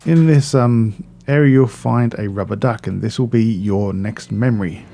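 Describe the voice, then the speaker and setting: A man's voice: on the low side, moderate pace, vocal fry, mellow, slight background noise. A man narrating a video game walkthrough in a casual, instructional way, explaining step by step and often repeating or correcting himself.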